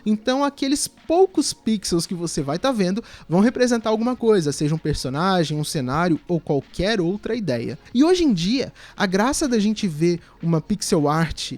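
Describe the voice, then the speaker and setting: A man's voice: high voice, lively intonation, moderate pace, few pauses, a little mellow. A Brazilian man giving casual video essay commentary in a conversational, explanatory way.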